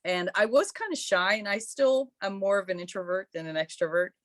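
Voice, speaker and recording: A woman's voice: mid-range voice, fairly quick, no room sound. A woman born in Croatia, a musician guest in a podcast interview, telling stories in a chatty, friendly way. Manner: warm, chatty storytelling with laughs. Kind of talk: casual interview.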